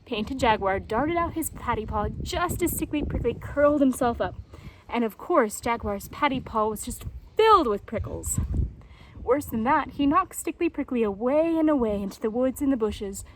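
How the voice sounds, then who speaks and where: Casting: female storyteller for children's tales, high voice, very expressive melody, noticeable ambient noise behind. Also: moderate pace, rough recording.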